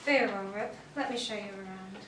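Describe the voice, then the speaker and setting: A woman's voice: medium pitch, a little fast, a bit bright, natural voice. A woman playing a poised, formal museum curator in an old-time radio mystery on stage, recorded from afar in a roomy space. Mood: poised, confiding. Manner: formal, composed. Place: theatre stage, recorded from a distance. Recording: echoey stage, distant mic, faint noise.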